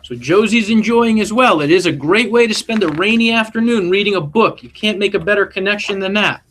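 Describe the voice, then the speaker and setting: Guy with a highish voice reading a kids book out loud, expressive, talks to the kids too. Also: fairly quick, slight background noise. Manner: expressive, engaging listeners with questions. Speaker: school principal reading aloud. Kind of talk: children's picture book read-aloud with asides to young listeners.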